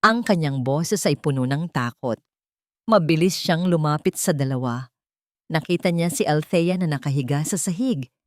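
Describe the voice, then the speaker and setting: A woman's voice: deep voice, animated, normal speed, no room sound, clean studio sound. A woman narrating a dramatic scripted story.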